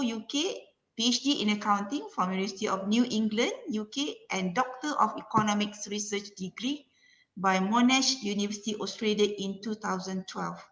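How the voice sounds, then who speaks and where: A woman with a Malaysian accent reading a formal speaker introduction as event moderator, halting and careful, stumbling over the odd word. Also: medium pitch, a bit bright. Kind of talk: formal scripted introduction read aloud. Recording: echoey room sound.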